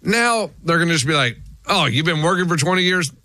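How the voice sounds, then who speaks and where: A man in his forties on a morning radio show rambles on with easy self-assurance. His voice sits slightly high and bright, recorded dry at a studio mic. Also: lively intonation, brisk, dry recording. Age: 40s.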